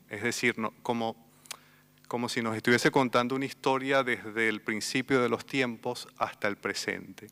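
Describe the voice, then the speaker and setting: A man from Venezuela with a Venezuelan Spanish accent, speaking thoughtfully on a literary festival panel, pausing and repeating words as he thinks. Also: medium pitch, pushed and bright, clean tone. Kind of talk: reflective panel talk. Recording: long hall reverb.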